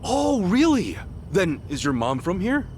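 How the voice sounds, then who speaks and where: A man's voice: high voice, wildly swooping pitch, a little slow, darkish tone, slight background noise, exaggerated voice. A man playing a brash, good-natured, streetwise tough guy in a game cutscene, talking bluntly and casually in slang. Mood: easygoing, curious. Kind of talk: English video game cutscene dub acting. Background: music.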